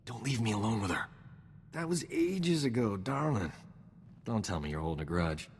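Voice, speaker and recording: An actor playing a cocky, flirtatious roguish combat medic in a video game, with a smug, suave, breezy delivery. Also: male voice, lowish voice, animated, moderate pace, long reverb tail, slight background noise. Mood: placating. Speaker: combat medic companion character. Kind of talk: video game dialogue acting.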